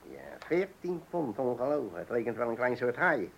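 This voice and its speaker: Radio drama window cleaner: chummy working-class bragger about fishing, self-amused, with a very animated, swooping delivery. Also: male voice, mid-range voice, moderate pace, gravelly, slightly rough, lo-fi sound, exaggerated voice. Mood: boastful. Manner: folksy, boastful, self-amused, hesitant openings. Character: chatty, boastful working-class window cleaner and keen angler. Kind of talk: radio play acting.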